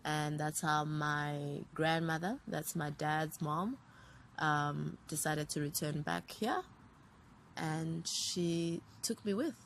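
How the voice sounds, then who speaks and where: A woman in her thirties from Zambia, a writer and producer recalling her childhood in a relaxed interview. She talks in a reflective way, reeling off long runs of names. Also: low voice, slow, creaky, a little hiss or hum. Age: 30s.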